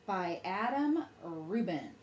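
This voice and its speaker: Woman, bedtime storyteller, expressive swooping intonation, slow deliberate phrasing, echoey room with faint noise. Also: on the low side. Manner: deliberate, dramatic phrasing for children. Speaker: children's storyteller, host. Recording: roomy echo, faint background noise.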